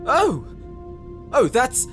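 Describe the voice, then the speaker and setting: Man voicing young scholarly navigator, earnest, excitable, unhurried pace, audio drama, prominent background music. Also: very high voice, exaggerated voice. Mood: thrilled. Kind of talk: scripted audio roleplay acting.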